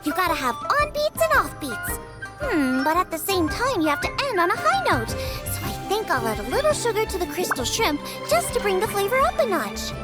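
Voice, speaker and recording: Very high, bubbly girl chef. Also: very animated, moderate pace, over loud music. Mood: musing. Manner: bubbly, thinks aloud to herself. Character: spirited, eager teenage girl chef. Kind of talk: animated game character acting.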